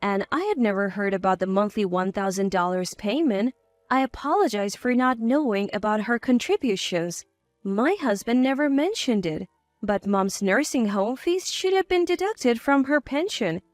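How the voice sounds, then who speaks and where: A woman's voice: mid-range voice, animated, moderate pace, dry recording, studio quality, faint music behind. A woman reading a scripted first-person story as its narrator.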